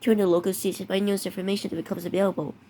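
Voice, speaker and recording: A voice reading out a homemade emergency broadcast, restarting phrases and tripping over words as the warnings go on. The pitch sits in an ordinary middle range. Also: female voice, level tone, dark tone, a little hiss or hum. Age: teenager. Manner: halting, many restarts and stumbles. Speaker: mock emergency alert announcer. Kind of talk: improvised fake emergency broadcast.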